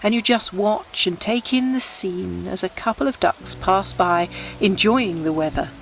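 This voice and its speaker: A woman narrates a scripted guided meditation in a gentle, unhurried, descriptive storytelling manner. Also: mid-range voice, gravelly, poor audio. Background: background music.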